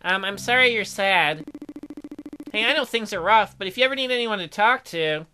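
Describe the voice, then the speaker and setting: Man putting on a high-voiced, needy male bear. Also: lively intonation, pushed and bright, a little hiss or hum, full character voice, low-quality recording. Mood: eager. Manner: halting, awkward, self-pitying. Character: lonely, needy, socially awkward male cave bear. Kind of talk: visual novel character voice.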